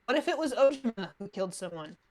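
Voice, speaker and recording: A woman with a high voice gives casual reaction commentary on a stream. She talks conversationally, as if chatting along with what she watches. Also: very animated, quick pace.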